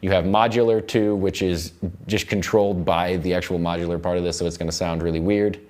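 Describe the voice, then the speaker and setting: Low-voiced man giving a casual tutorial. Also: fairly even intonation, fairly quick, a little mellow. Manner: informal, rambling explanations. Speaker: music production instructor. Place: indoor recording studio.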